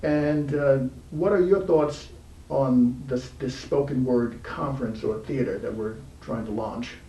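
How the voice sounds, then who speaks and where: A man hosting an informal interview show, speaking haltingly with frequent pauses mid-sentence. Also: medium pitch, mellow. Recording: roomy, distant mic, faint background noise.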